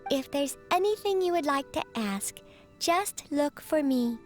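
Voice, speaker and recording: A woman's voice: high voice, expressive, unhurried, soft background music. A woman playing a small, courteous teapot spirit butler in bird form, formal and slightly absent-minded, in a video game. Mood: measured, helpful. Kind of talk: video game character dialogue.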